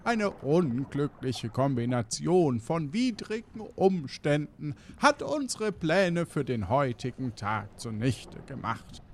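A man's voice: a little high, slight background noise, full character voice. A man playing a formal, overly polite pirate crew spokesman delivering an apologetic announcement in a comedy audio drama. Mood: formally apologetic.

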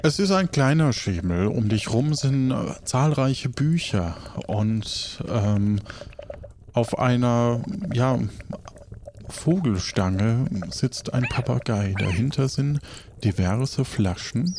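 Slow-paced male game master, noisy recording. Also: medium pitch, lively intonation. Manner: halting, pausing between phrases. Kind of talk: improvised descriptive narration of a tabletop game. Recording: clear background noise.

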